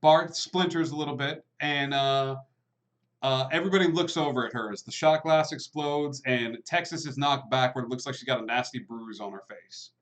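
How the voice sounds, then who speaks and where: Man, game master, halting narration, filler-heavy, medium pitch, live-streamed tabletop session. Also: a bit bright, low-quality recording. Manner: hesitant, many fillers.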